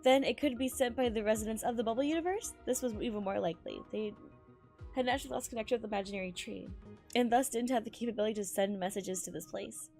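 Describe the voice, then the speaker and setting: A woman with a fairly high voice streams a game, reading and commenting as she goes. She is excitable and tends to exclaim or trip over lines. Faint music plays behind her. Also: fast talker. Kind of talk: live reading and reaction commentary of a game story.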